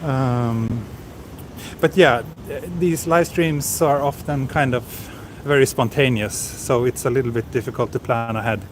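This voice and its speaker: Man, casual live-stream chatter, mid-pitched, handheld mic outdoors, clear street noise behind. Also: measured pace. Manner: chatty, reads viewer comments aloud, hesitant fillers. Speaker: livestream walking vlogger. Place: outdoors on a quiet city street. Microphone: handheld camera with small recorder mic and wind cover. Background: city street ambience.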